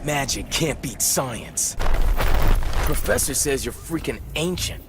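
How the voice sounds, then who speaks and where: Young fiery wisecracking hero for a fighting game; brash, animated, slightly creaky. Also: male voice, medium pitch, slow, heavy background noise. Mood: teasing. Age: young adult. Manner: sarcastic, quippy. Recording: game audio under loud music.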